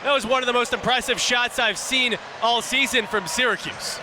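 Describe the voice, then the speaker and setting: A man's voice: very high voice, moderate pace. A young man giving live color commentary on radio sport, analysing in conversational sentences with emphatic opinions. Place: outdoor lacrosse stadium. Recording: noisy outdoor broadcast with stadium ambience.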